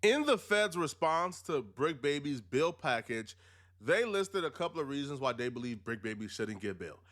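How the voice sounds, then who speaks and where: A man's voice: mid-range voice, very animated, normal speed, fairly bright tone. A man giving explanatory commentary in an emphatic, rhetorical way with streetwise phrasing.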